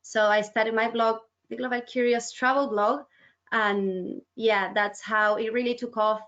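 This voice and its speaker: A woman's voice: fairly high pitch, steady tone, a little slow, a bit bright. A woman from Argentina, a writer guest, chatting in a webinar interview with plenty of "like" and "um". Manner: chatty, uses "like" and "um" often. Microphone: video call. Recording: dull lo-fi video call audio.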